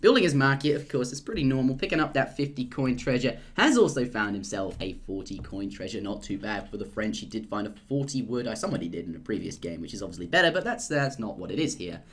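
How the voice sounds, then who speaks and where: A young man casting a live strategy game, rambling and jokey, and getting excited at big plays. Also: mid-range voice, quick pace, few pauses. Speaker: esports caster.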